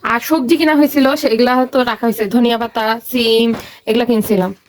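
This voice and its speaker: A Bangladeshi woman with a somewhat high voice chats casually as a vlogger. She explains things in a chatty way, with faint noise behind her. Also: steady tone, fairly quick. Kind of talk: casual shopping haul chat.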